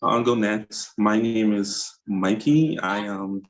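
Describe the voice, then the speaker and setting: A man in his twenties introducing himself on a video call, plain and slightly halting. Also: lowish voice. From Bahamas. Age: 20s. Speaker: player.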